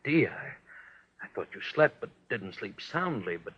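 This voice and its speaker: An actor playing an anxious, fretful husband in a halting, urgent 1940s radio drama style. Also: male voice, lowish voice, very animated, slight background noise. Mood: worried, insistent. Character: anxious, fretful husband pressing his sleepless wife. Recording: muffled vintage 1940s radio recording.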